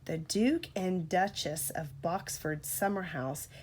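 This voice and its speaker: A woman's voice: lowish voice, slow pace, a little hiss or hum. A woman voicing an eager, earnest young male steam engine in a storybook. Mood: worried.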